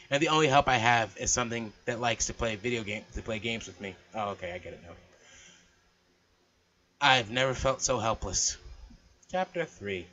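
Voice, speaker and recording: A man's voice: mid-range voice, normal speed, pauses often, pushed and bright, dull audio. A man hosting a let's play, reading the game's narration aloud and dropping in casual, joking asides. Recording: game music behind the voice. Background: game music.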